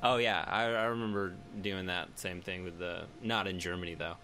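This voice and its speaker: A man's voice: deep voice, fairly even intonation, moderate pace, pushed and bright, slight background noise. An American man hosting an interview, chatting casually and joking, laughing often. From United States. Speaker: interviewer, host.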